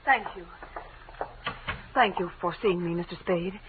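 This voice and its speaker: Actress as a troubled, refined young wife, tinny sound. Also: female voice, fairly low pitch, natural voice. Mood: polite, nervous. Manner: genteel, halting, breaking off mid-sentence. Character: refined, troubled, good-looking young wife seeking help. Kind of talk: 1940s radio acting. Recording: narrow-band, noisy 1940s radio recording.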